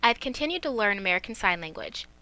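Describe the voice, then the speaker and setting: A woman's voice: mid-range voice, lively intonation, quick pace, pushed and bright, low-quality recording. A woman student interpreter voicing a signer's story in plain first-person narration.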